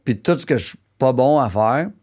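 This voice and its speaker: A man's voice: medium pitch, expressive, brisk, a little mellow. A man with a Québécois accent hosting a casual interview, talking loosely and slipping English slang into his French. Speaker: podcast host.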